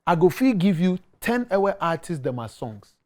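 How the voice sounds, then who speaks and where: A man's voice: high-pitched, big pitch swings, moderate pace, darkish tone. A man in his thirties with a Ghanaian accent, talking earnestly as a guest musician in a TV studio, repeating words and slipping into pidgin. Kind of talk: casual interview talk. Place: tv studio set.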